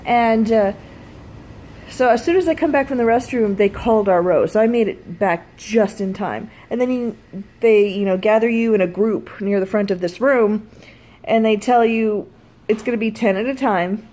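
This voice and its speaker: A woman's voice: fairly high pitch, moderate pace, mellow. A woman telling a personal story in a casual vlog style, blunt and freely swearing. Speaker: vlogger.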